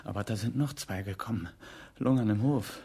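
An actor playing a cynical, sneering man in a radio drama, with a husky, gravelly voice. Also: fairly low pitch, normal speed, a little mellow. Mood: cynical, mocking. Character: cynical, sneering man watching trouble from hiding.

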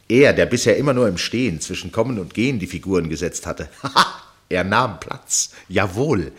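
Deep husky guy narrator in a radio drama, fascinated onlooker, suspenseful, pauses and hushed endings. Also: full character voice. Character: male storyteller, keen fascinated observer of a chess match. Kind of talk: literary radio play narration.

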